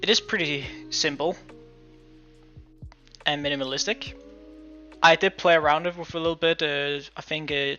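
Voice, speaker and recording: A Danish man who is not a native English speaker, streaming casual game-dev commentary in a halting way full of restarts. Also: a little high, sharp tone. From Denmark. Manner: halting, many restarts and fillers. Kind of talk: casual live-stream commentary while coding. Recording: muffled lo-fi stream audio.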